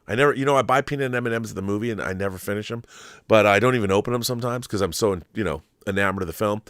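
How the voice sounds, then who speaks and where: A man's voice: fairly low pitch, rapid-fire, fairly bright tone, dry recording, studio quality. A man hosting a film commentary show, talking in a casual, opinionated monologue with an effusive, emphatic, rambling manner and some swearing.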